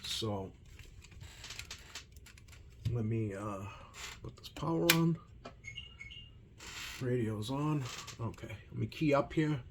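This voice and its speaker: A man giving a casual hands-on demonstration as a repair technician, talking in a hesitant, rambling way with many ums and pauses. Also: mid-range voice. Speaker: CB radio repair technician hosting a video. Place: repair workbench indoors. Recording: noisy room tone behind voice.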